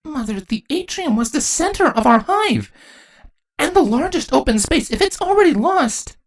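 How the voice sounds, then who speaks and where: A woman's voice: high-pitched, very animated, moderate pace, pitched above the performer's own voice. A woman voicing an earnest young changeling princess in a fantasy story, anxious and urgent. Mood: worried, urgent.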